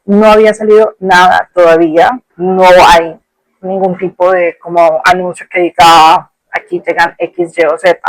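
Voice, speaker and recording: A woman's voice: fairly low pitch, dry recording, low-quality recording. A woman with a Colombian Spanish accent telling a personal story as a podcast host, in a reflective, conversational and colloquial way.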